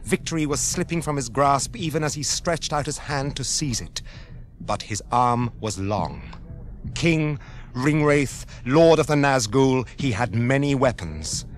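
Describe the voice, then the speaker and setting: Slow, grave British male narrator. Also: medium pitch, lively intonation, slight background noise. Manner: grave, measured, portentous. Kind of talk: dramatic scripted narration for radio fantasy. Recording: radio drama with faint music behind.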